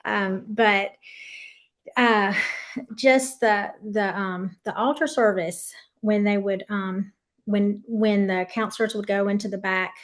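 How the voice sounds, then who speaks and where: A woman's voice: medium pitch, fairly even intonation, takes it slowly. Earnest woman reminiscing with many ums. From Tennessee. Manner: earnest, rambling with frequent ums and restarts. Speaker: podcast guest, former camp leader. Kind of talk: reminiscing interview.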